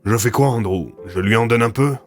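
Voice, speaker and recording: A man voicing a gruff, practical old man in an audio drama, curt and firm. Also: lowish voice, fairly quick, soft background music, lightly acted. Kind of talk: French audio fiction acting.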